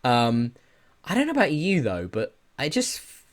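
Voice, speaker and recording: A man's voice: medium pitch, wildly swooping pitch, normal speed. A man with a mid-range voice hosts a casual podcast. He talks in a loose, rambling style and readily pokes fun at himself. It sounds like informal chat about games and news. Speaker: podcast host.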